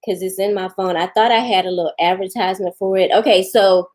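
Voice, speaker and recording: A woman in her forties chats loosely with her live audience in a drawl. She laughs easily and teases the viewers who comment. Her voice sits at an ordinary middle pitch for a woman. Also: fairly even intonation, brisk, darkish tone. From Houston, Texas. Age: 40s. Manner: chatty, laughs often, talks back to viewers. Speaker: vlogger answering live chat. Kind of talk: casual live-stream chat.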